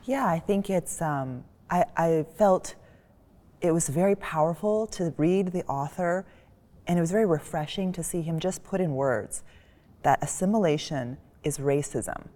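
A woman's voice: on the low side, measured pace, dark tone. A woman speaking on a panel in a library reading room, thoughtful and articulate. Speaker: panelist, community board member. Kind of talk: panel discussion. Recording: long reverb of a large hall.

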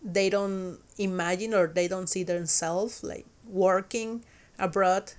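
A woman's voice: mid-range voice, fairly even intonation, slow pace. A young adult woman from Mexico with a Mexican accent and Spanish as her first language, hosting a casual podcast chat and speaking hesitantly, with many fillers and restarts. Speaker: podcast host.

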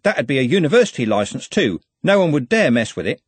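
A cocky young man speaks brashly and eagerly, with a lively, slightly husky voice, as a male narrator puts him on. The sound is close and dry. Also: mid-range voice, fast, no echo, voice pushed up.

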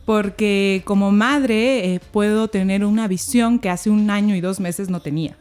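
A young woman, a podcast guest speaking in a thoughtful, measured way and asking rhetorical questions. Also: mid-range voice, a little mellow, faint music behind. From Peru. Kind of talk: reflective conversation.

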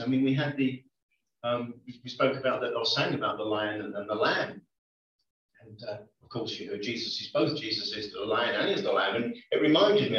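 A man's voice: medium pitch, a bit bright. Male preacher, conversational and hesitant, dull lo-fi church recording. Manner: conversational, hesitant with many ums. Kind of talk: sermon. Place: church hall. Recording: echoey lo-fi church recording from far off.

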